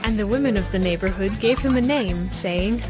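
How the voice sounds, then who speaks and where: Casting: female narrator for dramatized scripture, medium pitch, scripted storytelling, faint music bed. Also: moderate pace.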